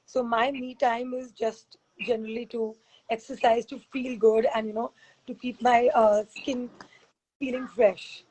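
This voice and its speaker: Husky-voiced woman hosting a video call. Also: fairly high pitch, flat delivery, a little slow, darkish tone, rough recording. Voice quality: husky from teaching classes. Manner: chatty, rambling, laughs often. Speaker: fitness expert and host. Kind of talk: casual video-call interview.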